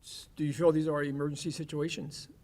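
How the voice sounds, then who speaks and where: Higher-voiced man speaking from off mic. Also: level tone, fast talker, a little mellow. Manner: casual, checking facts. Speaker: board commissioner. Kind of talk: questions at a public meeting. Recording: voice heard from far off the mic.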